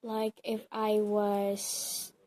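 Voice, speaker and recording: A woman's voice: mid-range voice, completely flat delivery, very slow, mellow, a little hiss or hum. Young woman, chatty and hesitant. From Hong Kong. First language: Cantonese. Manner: thinks aloud, many "like" and "um" fillers. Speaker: interviewee, bilingual student. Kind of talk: casual interview answers.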